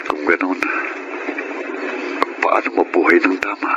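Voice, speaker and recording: Radio drama, male: low-pitched slum pickpocket, young and troubled, heavy music underneath. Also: fairly even intonation, unhurried, highs cut off. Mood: somber, reflective. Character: troubled young male petty thief in Manila slums. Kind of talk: Filipino radio drama acting. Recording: loud dramatic music behind.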